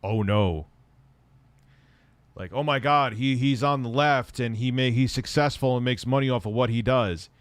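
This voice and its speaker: A man rambles through a casual livestream, answering viewer comments with plenty of swearing. His voice sits at a middling pitch, close to the mic, in a dry room with no echo. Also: normal speed. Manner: profane, rambling, animated, laughs often. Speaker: tech livestream host.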